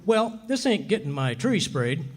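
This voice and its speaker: A man's voice: sits high, very animated, unhurried, a little hiss or hum. A man acting a cheeky, boastful, stubborn husband in a 1940s radio comedy, with a folksy, slangy way of talking. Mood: wry.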